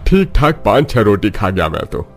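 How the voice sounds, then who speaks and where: Old vegetable seller voiced by a man: humble, gentle cartoon grandpa, lower register, faint music. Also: very animated, normal speed. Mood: affectionate. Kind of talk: Hindi cartoon story acting.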